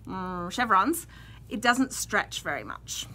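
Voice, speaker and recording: Lively, bright-voiced Canadian woman. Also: mid-range voice, slow, a little hiss or hum. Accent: Western Canadian. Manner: chatty, laughs often, animated storytelling. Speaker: podcast co-host. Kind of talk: casual chat.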